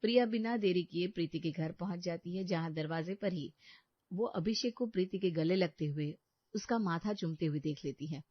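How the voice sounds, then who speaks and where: A woman giving expressive, scripted narration for a cartoon moral story. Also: lowish voice, normal speed, dry recording. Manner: expressive, dramatic. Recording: dry dull lo-fi studio.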